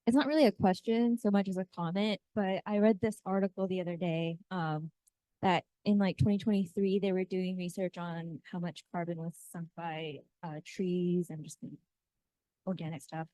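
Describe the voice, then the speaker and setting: A woman's voice: on the low side, steady tone, moderate pace, warm tone, no echo, poor audio. A woman from the audience making a casual, hesitant comment in a Q&A, with filler words like "like.". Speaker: audience member.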